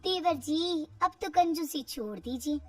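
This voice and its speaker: A woman's voice: sits high, a little slow, a little mellow, soft background music, pitched above the performer's own voice. A woman voicing a gossiping, mock-scandalized young sister-in-law with a sly, insinuating manner in a narrated story.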